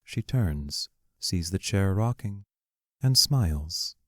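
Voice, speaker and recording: A man with a low voice narrates the stage directions of an audio drama. He is measured and descriptive, and the recording is close and dry. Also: animated, a bit bright, no echo. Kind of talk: scripted narration of play scene directions.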